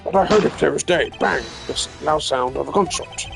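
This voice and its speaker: Man putting on a flustered elderly male judge, creaky voice. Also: a little high, brisk, over music. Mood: shocked. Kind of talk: game character voice-acting.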